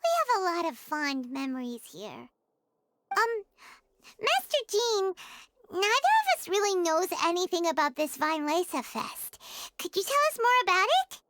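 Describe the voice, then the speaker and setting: A woman voicing a tiny, childlike, excitable fairy companion in a video game, chirpy in manner. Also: very high voice, a little slow, a little hiss or hum, full character voice. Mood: cheerful. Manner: chirpy, speaks of herself in third person. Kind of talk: video game character acting. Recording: music behind the voice.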